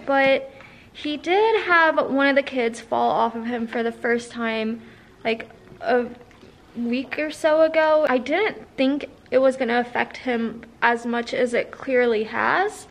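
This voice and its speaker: A woman's voice: high voice, fairly bright tone. A young woman talking casually to a phone held at arm's length, standing in an indoor barn aisle beside her horse. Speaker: horse trainer and vlogger. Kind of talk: casual vlog talk to a selfie camera.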